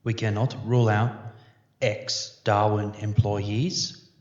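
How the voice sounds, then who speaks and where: A man's voice: fairly low pitch, little pitch movement, darkish tone. A man playing a grave, methodical police investigator giving a formal, clinical briefing in a sci-fi audio drama. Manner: measured, formal, clinical delivery. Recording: echoey room, dull muffled sound.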